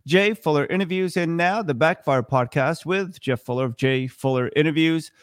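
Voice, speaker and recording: A man in his forties hosts an interview podcast. His voice sits fairly high, recorded dry with no room sound. He speaks in a measured way and asks plain, direct questions. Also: smooth, dry recording. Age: 40s.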